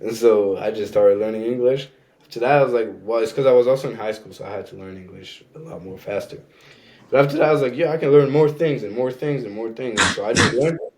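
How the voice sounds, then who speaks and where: A man's voice: mid-range voice, quick pace, slight background noise. A young man from Rwanda, a French and Rwandan speaker, chatting easily as a podcast guest with lots of "you know what I'm saying.". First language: a Rwandan language; also French. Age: young adult. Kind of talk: casual conversation.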